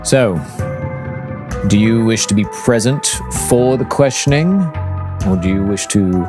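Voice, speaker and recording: A man acts out a courtly fey gentleman for a fantasy game, sly and grandly polite. The voice is low and unhurried, with flowery phrasing and savoured words, over music. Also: natural voice. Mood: amused, approving. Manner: formal, flowery, drawn-out words.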